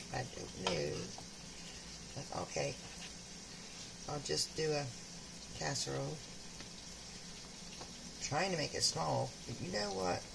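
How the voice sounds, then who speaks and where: An older woman with a low, gravelly voice, a little rough from a sore throat. She chats in a homey way while she cooks, and loud frying and sizzling fill her home kitchen behind her. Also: noisy background. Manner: homey, chatty, talks while cooking. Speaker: home cook. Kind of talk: casual cooking vlog. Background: frying and sizzling food.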